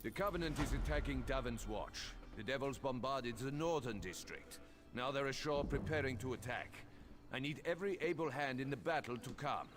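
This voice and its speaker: A man's voice: mid-range voice, expressive, moderate pace, music in the background, lightly acted. A man playing a stern, urgent battle commander in a fantasy video game. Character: stern male battle commander rallying troops.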